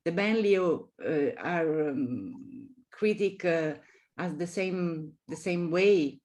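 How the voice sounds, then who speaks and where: A woman with an Italian accent, a native Italian speaker, answering as an academic guest and haltingly searching for her English words. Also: on the low side, lively intonation, darkish tone. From Italy. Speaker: architecture professor, guest lecturer. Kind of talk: academic discussion at a webinar.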